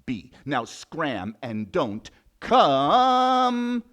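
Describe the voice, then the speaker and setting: A man voicing a big, bad, boastful lion king who is quick to anger, blustering and taunting in a story for children. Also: mid-range voice, expressive, highs cut off. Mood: grudging, warning.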